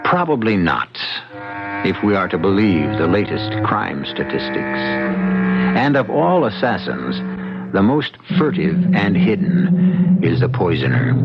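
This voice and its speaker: A man hosting and narrating a radio mystery in a grave, deliberate, theatrical style. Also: deep voice, sing-song. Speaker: host and narrator. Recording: dull 1975 radio broadcast, music behind. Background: music.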